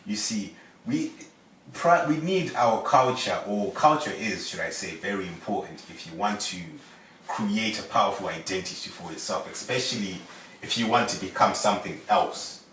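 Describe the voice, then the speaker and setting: A man makes his case earnestly in a casual podcast discussion, sprinkling in "you see" and turning loud when pushed. His voice sits low. The room is echoey, the mic distant, with faint noise underneath. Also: normal speed. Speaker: podcast panelist.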